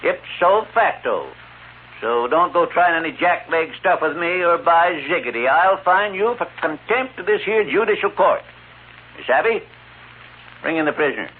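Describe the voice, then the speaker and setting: A man's voice: fairly high pitch, expressive, moderate pace, natural voice. A man playing a crusty, bossy frontier judge in a 1950s Western radio drama, with a folksy American Western drawl. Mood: gruff, bossy. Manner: folksy, gruff, commanding. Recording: tinny 1950s radio recording, hiss.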